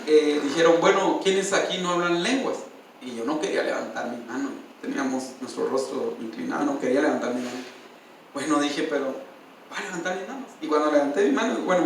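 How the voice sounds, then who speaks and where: A man's voice: fairly high pitch, a bit bright, a little hiss or hum. A male pastor preaching a sermon and sharing a personal testimony with feeling, often calling out to his listeners as brother. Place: church. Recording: distant, echoey church hall.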